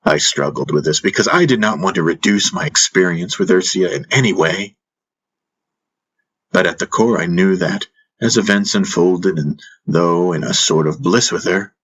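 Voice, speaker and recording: Guy narrating a fantasy audiobook, really low husky voice, reflective storyteller vibe. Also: a little slow, pauses often, fairly bright tone, poor audio. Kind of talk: dramatic scripted first-person fantasy narration.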